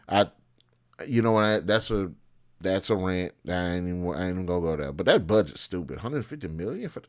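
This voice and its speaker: A man with a fairly low voice hosts a casual commentary video. He speaks informally and bluntly, giving his opinions in loose, slangy language. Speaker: video host.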